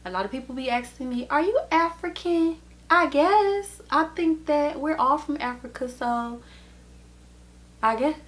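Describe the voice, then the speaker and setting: Woman, thirties, slow pace, casual Q&A chat, lots of ums. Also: high-pitched, expressive. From Chicago and Detroit, USA. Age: 30s. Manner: slow, easygoing, with many ums. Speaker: vlogger and hairstylist. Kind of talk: casual Q&A vlog.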